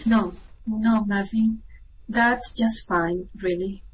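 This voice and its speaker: A woman acts as a patient, practical spaceship crewwoman in a radio play. She speaks slowly and matter-of-factly at a middling pitch, over clear background noise. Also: fairly even intonation, lightly acted, low-quality recording. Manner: patient, matter-of-fact, reassuring. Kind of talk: amateur radio play acting.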